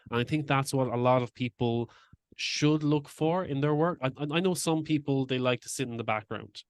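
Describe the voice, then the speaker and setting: A man's voice: mid-range voice, normal speed, smooth, dry recording, clean studio sound. A man hosting a podcast interview, speaking earnestly and reflectively and sharing his own experiences in casual chat. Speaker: podcast host.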